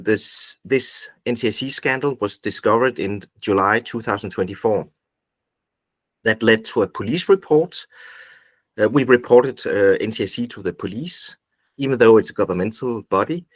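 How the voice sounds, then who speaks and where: A low-voiced man with a Danish accent presents informally over a video call. His pitch moves around a lot as he talks haltingly with frequent pauses, and the sound is dry, without room echo. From Denmark. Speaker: invited speaker, activist group founder. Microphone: video call microphone.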